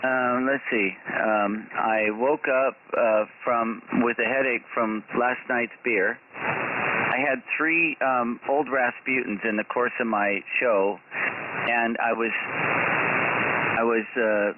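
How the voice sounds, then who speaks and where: A man of medium pitch talks casually over a two-way radio. He tells things in a loose, halting way, stretching out his hesitations. The channel is very narrow and thick with loud noise. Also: steady tone. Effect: two-way radio transmission. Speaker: amateur radio operator. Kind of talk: casual anecdotal radio chat. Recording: narrow, very noisy ham radio transmission.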